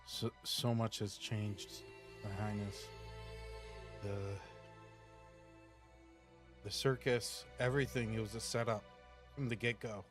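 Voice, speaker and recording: A man playing a dejected, bitter exiled racer in a tabletop game, speaking haltingly and repeating his words. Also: on the low side. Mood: defensive, hurt. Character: exiled racer, dejected, bitter, bewildered young nobleman's outcast. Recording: music behind the voice.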